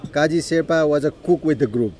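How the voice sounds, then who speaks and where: A middle-aged man with a Nepali accent, telling his life story in an unhurried way, with frequent "you know" and throat clearing. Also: fairly high pitch, a little mellow. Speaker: interviewee. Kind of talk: personal life story told in conversation.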